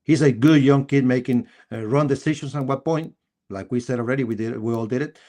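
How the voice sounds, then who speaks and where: Costa rican guy, native spanish speaker, sports show host, halting and repeats himself, punches certain words. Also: medium pitch, warm tone. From Costa Rica. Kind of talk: live sports discussion.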